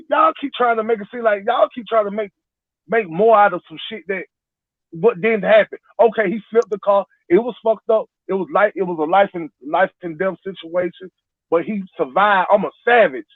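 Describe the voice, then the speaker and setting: A man's voice: sits high, normal speed, no echo. A man in his forties calling in, rambling and defending his point, repeating himself. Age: 40s. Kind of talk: heated casual debate. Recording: tinny phone line, dry.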